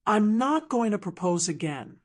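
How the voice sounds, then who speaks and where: A woman voicing an earnest, tender man, a gruff contractor in love, in an audiobook drama. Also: medium pitch, sing-song, unhurried. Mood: earnest, searching.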